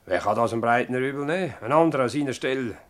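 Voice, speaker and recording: A man's voice: medium pitch, natural voice. A man acting an agitated, evasive village mayor in a radio play, hedging and emphatic, with a Swiss German accent. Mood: earnest, agitated. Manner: hedging, emphatic, halting when pressed. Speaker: actor in a dialect radio play. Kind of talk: dialect radio drama acting.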